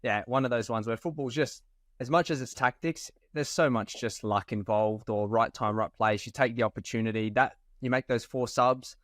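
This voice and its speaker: A man's voice: medium pitch, moderate pace, a bit bright, dry recording, studio quality. A man co-hosting a football podcast, chatting casually and animatedly, with the odd swear. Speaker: podcast co-host.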